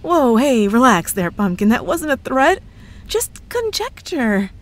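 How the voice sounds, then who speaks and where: A woman playing a slow-talking, coyly menacing witch girlfriend. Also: fairly high pitch, big pitch swings. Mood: coy, defensive. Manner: teasing, coy, sweetly threatening. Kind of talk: ASMR roleplay monologue.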